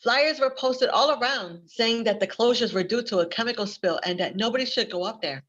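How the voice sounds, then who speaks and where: A woman's voice: on the high side, brisk, fairly bright tone. Woman playing friendly young librarian, slightly dull audio. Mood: chatty, confiding. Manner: helpful, chatty. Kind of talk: readers theater acting.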